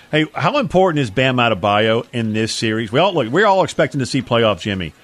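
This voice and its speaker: A man's voice: lowish voice, fairly bright tone. A man co-hosting sports talk radio, chatting casually and asking a guest questions.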